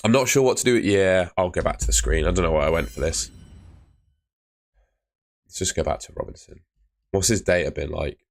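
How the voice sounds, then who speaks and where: A young man chatting live with viewers on a stream, laid-back and chatty, his voice fading and hoarse. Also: low-pitched, fairly quick, pauses often. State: losing his voice.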